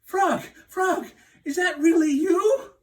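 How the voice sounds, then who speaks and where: A man voicing an excitable, vain and anxious storybook toad, exclaiming and pleading in drawn-out cries. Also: extremely high pitch, recorded from a distance, voice pushed up. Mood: anxious, amazed. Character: excitable, vain, anxious male toad, showing off then panicking.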